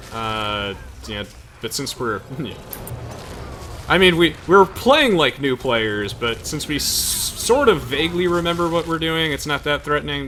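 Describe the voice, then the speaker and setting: A man's voice: medium pitch, fairly bright tone, slight background noise. A young man giving casual, chatty gameplay commentary to friends, explaining things with hesitant trailing asides. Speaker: gamer hosting a let's play.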